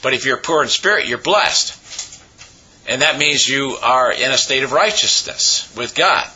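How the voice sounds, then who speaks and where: Man, pastor, raspy cold-worn voice, sharp bright tone, halting sermon delivery, husky. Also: medium pitch, animated, dull audio. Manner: halting, with many pauses and uh fillers. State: hoarse from a cold.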